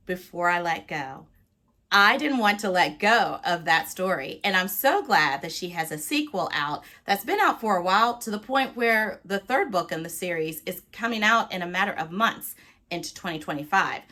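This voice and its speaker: Expressive, chatty woman book vlogger. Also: on the low side. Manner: expressive, playful, emphatic. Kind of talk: casual, chatty talk to viewers.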